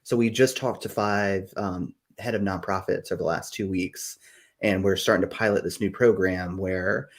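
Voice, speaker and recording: Low-voiced podcast host, rambling. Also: male voice, fairly even intonation, moderate pace. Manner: enthusiastic, rambling, many fillers. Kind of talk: casual live-stream podcast chat.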